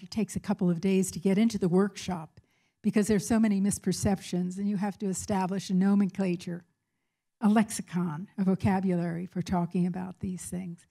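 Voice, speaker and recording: An older woman with a mellow, relaxed voice and a middling pitch speaks as an academic at a live event. She phrases things deliberately and with measured emphasis, as if answering a question from the floor. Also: gravelly, poor audio. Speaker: academic speaker, professor of peace studies. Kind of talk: public lecture answering audience questions.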